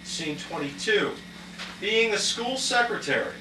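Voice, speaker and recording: Male puppet show narrator, high and lively, in an echoey room. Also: unhurried. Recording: echoey room, distant mic, noisy.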